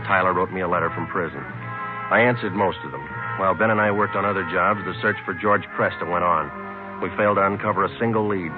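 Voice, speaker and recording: A man acting as a terse, deadpan police detective in a vintage radio crime drama. His deep voice moves briskly in short, blunt sentences, with the thin band of an old broadcast. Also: lightly acted. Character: blunt, terse, no-nonsense male police detective narrating cases. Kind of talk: 1940s-50s radio crime drama, clipped narration. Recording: narrow-band old radio recording, music behind.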